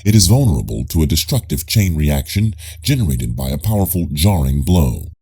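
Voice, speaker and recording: A man reading a scripted nonfiction audiobook in a measured, formal manner. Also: very deep voice, big pitch swings, creaky, dark tone, slightly rough. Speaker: audiobook narrator.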